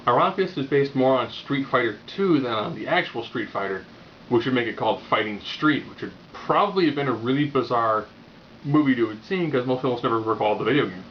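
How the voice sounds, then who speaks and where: A man's voice: mid-range voice, animated, fast talker. A man giving a casual, opinionated video review in a wry, rambling, unscripted way. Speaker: movie reviewer. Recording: muffled lo-fi audio with background noise.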